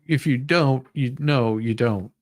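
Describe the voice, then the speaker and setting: Livestream host, mid pitch, unhurried delivery, informal and jokey, dramatic reading mixed with chat, dry sound. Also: no echo.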